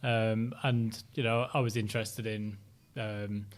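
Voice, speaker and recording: Hesitant middle-aged man with softened northern English accent. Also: fairly low pitch, flat delivery, unhurried. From Sheffield, South Yorkshire, England. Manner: hesitant, many ums and restarts, reflective. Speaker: interview guest. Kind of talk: casual interview chat.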